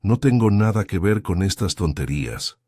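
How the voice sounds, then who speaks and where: A man's voice: low voice, animated, moderate pace, mellow, no echo, studio quality. A man voicing a prim, offended woman who snaps curtly. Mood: indignant. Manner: curt, snapping.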